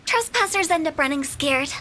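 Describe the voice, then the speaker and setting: A woman's voice: high-pitched, lively intonation, moderate pace, sharp tone, a little hiss or hum. A woman voicing a playful, cheeky teenage girl guide in a video game, with a breezy, teasing manner. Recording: game music underneath.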